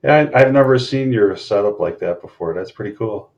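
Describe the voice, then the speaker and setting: A man's voice: fairly low pitch, animated, brisk, gravelly. A middle-aged man from Chicago with a Chicago accent, calling in over voice chat and chatting in a rambling way, full of restarts. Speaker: caller on a voice chat. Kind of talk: casual chat. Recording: muffled voice-chat audio.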